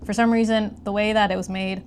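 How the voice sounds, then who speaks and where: A woman's voice: medium pitch, level tone, brisk, a little hiss or hum. A woman giving a casual, conversational hands-on gadget review, explaining things step by step. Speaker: product reviewer.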